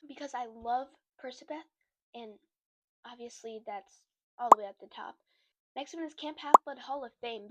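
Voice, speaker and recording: Kinda sick woman rambling to camera, slightly high voice, lots of likes. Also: fairly bright tone, no room sound. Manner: chatty and rambling, many "like"s and restarts. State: a little sick. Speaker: book content creator. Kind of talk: casual chat to camera.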